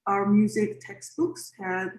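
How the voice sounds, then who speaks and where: A woman's voice: medium pitch, level tone, slow, darkish tone, faint music behind. Korean-born woman composer in her forties, reflective interview answers, roomy echo. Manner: reflective, with many fillers and restarts.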